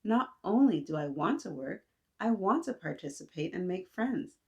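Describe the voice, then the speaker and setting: A woman's voice: fairly low pitch, big pitch swings, moderate pace. A woman voicing a young immigrant schoolgirl, earnest and determined, while reading a storybook aloud. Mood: upset.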